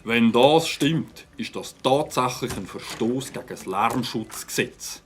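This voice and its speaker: A stern, fair village policeman voiced by a man in a children's audio play. He speaks at a medium pitch in an officious, pedantic way. There is faint noise behind the voice. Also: animated, measured pace, lightly acted. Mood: measured, official. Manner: formal, officious.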